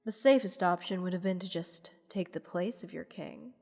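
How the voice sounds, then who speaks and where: A woman playing a haughty, playful goddess who teases a captive mortal in an unhurried, condescending manner, in a roleplay audio drama. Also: on the low side, a little mellow. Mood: amused, teasing. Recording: long hall reverb. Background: music.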